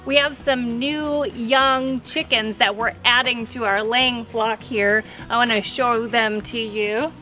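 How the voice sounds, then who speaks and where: A woman's voice: a little high, steady tone, takes it slowly, hardly any pauses. A woman hosting a homestead vlog, giving a casual update to camera outdoors beside a chicken pen. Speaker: homesteader and farm vlog host. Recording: clear outdoor noise, birds and chickens clucking.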